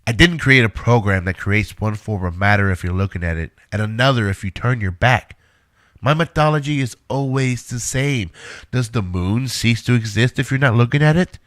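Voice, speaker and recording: Guy acting as earnest scientist man in an audio drama, medium pitch, dry sound. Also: moderate pace, fairly bright tone, dry recording, natural voice. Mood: defensive. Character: earnest male scientist defending his invention.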